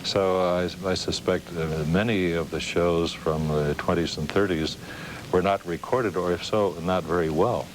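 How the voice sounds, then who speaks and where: A man's voice: low-pitched, steady tone, moderate pace. An older American man, around seventy, hosting a conversational television interview. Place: television studio. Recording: dated TV broadcast with faint noise.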